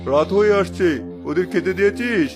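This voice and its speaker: A man's voice: high voice, a little fast, music in the background, natural voice. A man playing a stern, bossy middle-aged village uncle in a cartoon. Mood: scolding. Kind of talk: Bengali cartoon voice acting.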